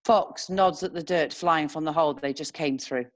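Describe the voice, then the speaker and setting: A woman's voice: low-pitched, normal speed. A woman narrator reading scene descriptions as scripted screenplay narration.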